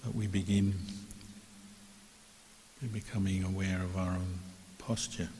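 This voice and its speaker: A man teaching guided meditation as a Buddhist monk, calm and measured, with long pauses between phrases. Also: low voice. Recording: echoey hall reverb with clear hiss.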